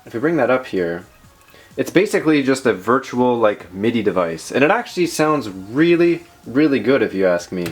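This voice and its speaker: A man's voice: mid-range voice, big pitch swings, moderate pace, breathy edge, faint music behind. A man hosting a casual tech show-and-tell, talking informally in rambling asides. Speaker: tech video host.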